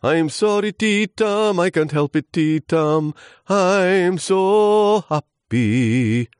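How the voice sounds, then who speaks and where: Man voicing a high, timid little storybook leaf. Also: very animated, slow, a little mellow, dry recording, studio quality, full character voice. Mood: happy, excited. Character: lonely, timid little autumn leaf, eager and naive.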